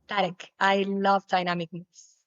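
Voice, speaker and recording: A young woman whose native language is Spanish, answering interview questions casually, with many halting restarts. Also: medium pitch, little pitch movement, clear, resonant tone, dry recording, dull audio. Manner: halting, repeats words, laughs often. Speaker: guest, pole dancer.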